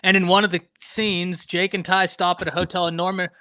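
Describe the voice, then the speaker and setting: A man from Texas hosting a casual live-stream sports talk show, animated and talking to chat viewers by name. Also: high voice, brisk, dry recording, studio quality.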